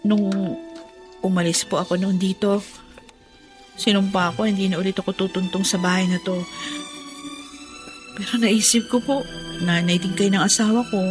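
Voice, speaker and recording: A woman plays a sincere young wife and mother in a Filipino radio drama. Her good-hearted voice sits at a medium pitch over faint music. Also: fairly even intonation, normal speed, pauses often, natural voice. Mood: earnest, forgiving.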